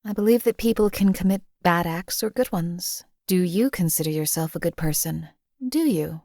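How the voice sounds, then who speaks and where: A woman's voice: fairly low pitch, warm tone, no room sound, close to an everyday voice. A woman voicing a cold, detached female hitman. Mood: cold, deadpan. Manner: measured, detached and dry. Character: cold, numb female hired killer, once enslaved. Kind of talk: video game companion voice lines.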